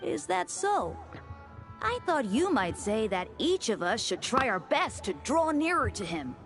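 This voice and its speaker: A woman voicing a courteous, gently teasing young deacon, devout and polite, in a video game over music. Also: on the high side, very animated, measured pace, heavily acted. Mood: reverent.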